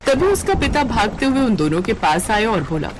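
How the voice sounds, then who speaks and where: A woman's voice: fairly high pitch, sing-song, a little fast, background noise. Woman narrating a cartoon story.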